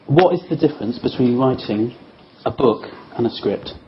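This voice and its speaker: A man's voice: medium pitch, lively intonation, normal speed. A man interviewing casually at a panel, putting his questions in an informal, hesitant way. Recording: muffled, noisy room recording.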